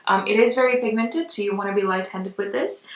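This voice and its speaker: A woman's voice: medium pitch, fairly quick, fairly bright tone. A woman giving a casual makeup tutorial in a chatty, conversational way. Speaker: makeup vlogger. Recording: roomy echo, distant mic.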